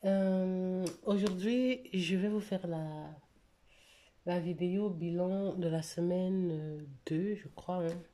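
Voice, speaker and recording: A woman chats through her weekly budget in a mellow, somewhat low voice. She talks casually, hesitating often with fillers and restarts, and sounds a little stuffy from a cold. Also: takes it slowly, a little hiss or hum. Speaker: budget vlogger. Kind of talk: casual budget vlog to camera.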